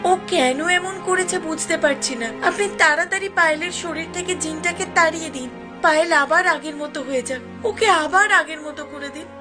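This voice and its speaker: A woman's voice: very high voice, fairly quick, music in the background. A woman voicing a frightened village woman in a cartoon, plaintive and pleading. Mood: worried pleading. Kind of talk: Bengali cartoon voice acting.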